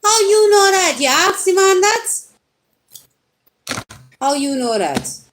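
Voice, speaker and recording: A woman's voice: very high-pitched, sing-song, slow pace, fairly bright tone, slight background noise. A woman with a Trinidadian accent giving a casual live tarot reading, chatting and teasing her viewers directly with sudden rising exclamations. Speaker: tarot reader.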